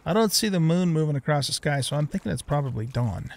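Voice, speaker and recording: A man's voice: a little high, animated, fast, creaky. A middle-aged man giving casual let's play commentary, thinking aloud as he plays. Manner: talks to himself, thinking aloud.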